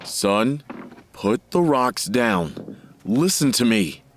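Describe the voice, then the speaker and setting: A man's voice: mid-range voice, sing-song, extremely slow. A man playing a bewildered, worried father in an audio drama, pleading and urgent. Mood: alarmed, bewildered.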